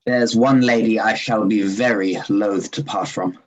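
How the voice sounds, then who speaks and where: An actor playing a smooth, charming young officer in a live-read radio play, probing with careful hesitation. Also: male voice, fairly low pitch, fairly even intonation, measured pace, lo-fi sound. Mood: guarded.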